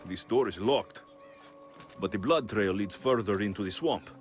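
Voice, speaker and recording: An actor playing a terse, protective, hot-tempered mercenary in a video game. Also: male voice, on the low side, moderate pace, close to an everyday voice. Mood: grim, horrified. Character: gruff male Greek mercenary hero, protective, hot-tempered. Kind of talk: dramatic video game acting. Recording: dramatic music behind the voice.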